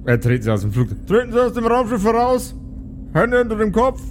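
A man's voice: very high voice, big pitch swings, fast talker, slight background noise, voice pushed up, full character voice. A man voicing a stern, officious armed guard who barks commands with a muffled, mouth-full delivery. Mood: barking, commanding. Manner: commanding, bureaucratic. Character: stern, officious male armed police guard barking orders.

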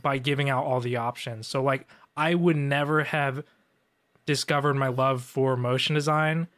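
A man co-hosting a casual chat, relaxed and filler-heavy, saying "like" a lot. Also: medium pitch, normal speed. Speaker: designer co-host.

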